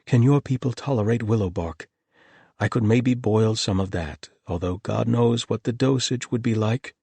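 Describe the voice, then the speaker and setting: A man's voice: moderate pace, gravelly, dark tone, breathy edge, dry recording. A man voicing an anxious young male healer who talks in a worried, strained way. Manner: worried, rising pitch.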